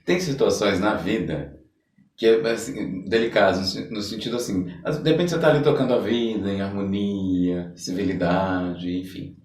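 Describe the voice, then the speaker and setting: A Brazilian man with a fairly deep voice interviews in a casual, reflective way, feeling his way toward each question. He sounds a little away from the microphone, in a room with strong echo. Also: moderate pace, a little mellow. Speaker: podcast interviewer. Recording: roomy echo, distant microphone.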